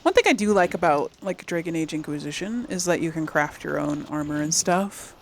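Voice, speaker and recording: A woman's voice: deep voice, expressive, fairly quick, slight background noise. A woman hosting a casual gaming let's-play, chatting and thinking aloud as she plays. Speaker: gaming channel host playing through a game.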